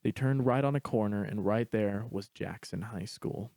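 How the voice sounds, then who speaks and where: A man's voice: fairly low pitch, normal speed, creaky, dark tone, no echo, clean studio sound. A man reading a scripted story aloud as its narrator.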